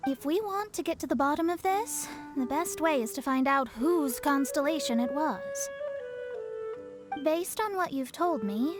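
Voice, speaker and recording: A haughty young female astrologer from a video game. Her high voice is articulate and self-assured, with faint music underneath. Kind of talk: video game character acting.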